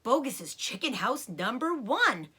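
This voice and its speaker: A woman voicing a clever, excitable and loving father fox in a storybook, with proud exclamations, commands and cautious hushes. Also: on the high side, a little slow, breathy edge, pitched above the performer's own voice, exaggerated voice.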